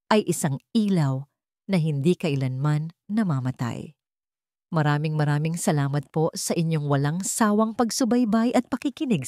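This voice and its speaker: Low-voiced woman narrating a story. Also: dark tone, no room sound, clean studio sound.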